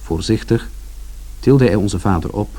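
An actor playing an observant son who narrates a family journey in a measured, descriptive way for a radio drama. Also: male voice, on the low side, noisy background, close to an everyday voice. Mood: reflective.

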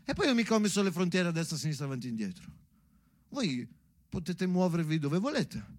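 A man's voice: on the high side, sing-song, fast talker, dry recording. A man playing an exasperated, bossy God on stage, emphatic and repetitive, stammering when riled. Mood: firm. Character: exasperated, bossy God assigning births to unborn children. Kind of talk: theatrical monologue with dialogue.

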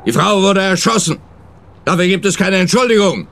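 A police detective from a comic radio crime play. His voice sits fairly high, and he is brusque, officious and pompous, with a mocking edge. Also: expressive, moderate pace, creaky, a bit bright, slight background noise, heavily acted. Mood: officious, grim. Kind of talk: scripted radio crime comedy.

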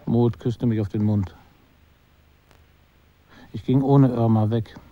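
An actor playing a man who narrates his memories in a literary radio drama, measured and understated, in slightly muffled sound. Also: mid-range voice, fairly even intonation, mellow, some chatter behind, natural voice. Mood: reflective. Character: male narrator recalling a woman he knew.

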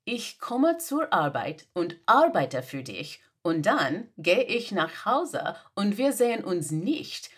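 A flustered young female assistant, read by a woman meets each demand with baffled, exasperated questions. She speaks slowly and deliberately, and her pitch swings widely up and down. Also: very animated, a bit bright, husky, full character voice. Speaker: podfic reader. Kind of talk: German audio drama reading.

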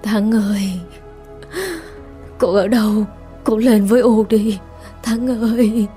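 A woman voicing a frail, grieving old peasant mother who pleads in slow, wailing tones in an audio story. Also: mid-range voice, level tone, dark tone, faint music behind, natural voice. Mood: calling. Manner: wailing, slow sobbing pleas.

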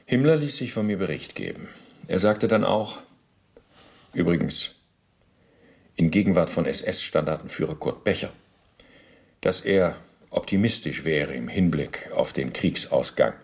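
An actor playing a bureaucratic, self-justifying Nazi war criminal under interrogation in a radio play, speaking formally and officiously. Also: male voice, low-pitched, lively intonation, a little slow, pauses often, a little mellow. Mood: cooperative, precise. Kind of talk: German radio docudrama interrogation acting.

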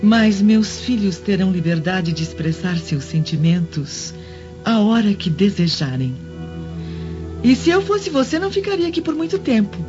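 A woman's voice: mid-range voice, lively intonation, normal speed, close to an everyday voice. An actress playing a dignified, protective Roman mother in a radio drama, earnest and emotive, with a Brazilian accent. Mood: firm, indignant. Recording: dull lo-fi audio with music underneath.

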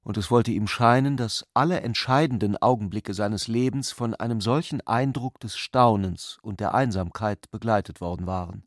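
A man reading literary prose as a scripted narrator, in a measured, deliberate way. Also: on the low side, dry recording, clean studio sound.

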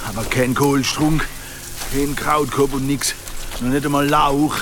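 A man's voice: mid-range voice, level tone, a little slow, background noise, natural voice. A man acting as a plain-spoken, grumbling country army private in a war radio play. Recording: music under the voice. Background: music.

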